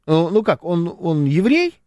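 Casting: male commentator, somewhat high voice, lively and sarcastic, dry close sound. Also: a little slow, dry recording. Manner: animated, sarcastic, talks with hesitations. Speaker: commentator reading viewer comments. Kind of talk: casual political commentary.

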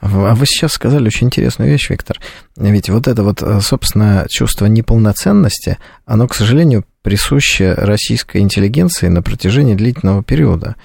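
A man with a low, mellow voice and a clear creak hosts a live radio interview. His phrasing is measured and a little hesitant, in a dry studio sound. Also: lively intonation, no room sound. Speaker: radio host. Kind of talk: live call-in interview hosting.

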